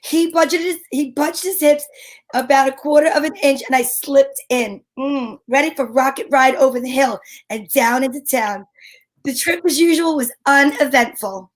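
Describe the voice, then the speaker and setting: A wisecracking female private eye, played by a woman tells her case in old-time radio fashion. Her voice is high, her delivery wry and self-assured with deadpan quips. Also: moderate pace, lightly acted. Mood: dry, storytelling.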